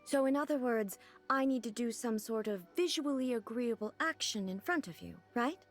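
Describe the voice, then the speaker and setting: A woman voicing a regal, composed female ruler in a video game cutscene, speaking in measured, formal and earnest sentences. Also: fairly high pitch, expressive, soft background music, close to an everyday voice. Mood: puzzled.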